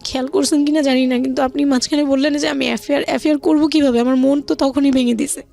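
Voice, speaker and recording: A Bangladeshi woman telling her life story as a radio interview guest, speaking in a halting, confiding way with repeated words. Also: high voice, fairly even intonation, gravelly, dark tone. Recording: music under the voice. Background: background music.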